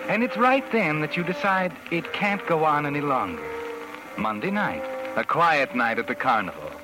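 An actor playing a knowing, sardonic narrator who insinuates himself into an old-time radio suspense tale. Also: male voice, fairly high pitch, sing-song, moderate pace, music in the background, rough recording. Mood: ominous, knowing. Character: sardonic, insinuating noir narrator addressing a scheming woman. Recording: vintage radio recording.

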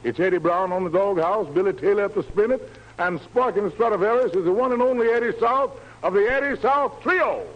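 A man's voice: sits high, a little fast, lo-fi sound, noisy background. A man emceeing a 1940s radio music show in slangy, theatrical hepcat jive talk, on a vintage broadcast recording. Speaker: radio show host, master of ceremonies.

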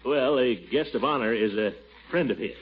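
An actor playing a pompous, blustering middle-aged bachelor who hems and stammers, in 1940s radio acting. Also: male voice, mid-range voice, moderate pace, slight background noise, lightly acted. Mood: flustered coaxing. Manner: hemming, stammering, coaxing. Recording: muffled vintage radio broadcast.

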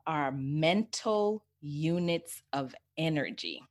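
A woman's voice: sits low, animated, takes it slowly. A woman hosting a conversational interview, effusive and affirming, repeating phrases for emphasis. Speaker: interview host.

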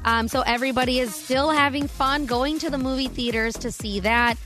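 A woman's voice: high voice, steady tone, brisk, a bit bright, soft background music. A woman co-hosting a morning radio show, reading trending entertainment news and chatting about it. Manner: chatty, reads headlines then riffs.

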